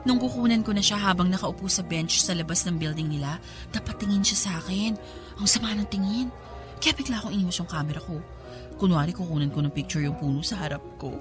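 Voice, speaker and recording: A woman's voice: lowish voice, quick pace, music in the background, natural voice. A woman playing a cheeky, lovestruck young Filipino student in a radio drama, flustered and giggly. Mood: smitten. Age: young adult. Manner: flustered, stammering when nervous, giggly. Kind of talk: Tagalog radio drama acting.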